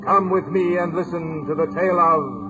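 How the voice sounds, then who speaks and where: A man acting as the eerie host of an old radio horror show, speaking slowly and theatrically to beckon listeners in. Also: on the high side, natural voice, poor audio. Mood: ominous, inviting. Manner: slow, theatrical, ominous. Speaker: announcer of a horror anthology. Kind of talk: 1940s radio horror announcing. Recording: old radio recording, loud music behind. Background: loud music.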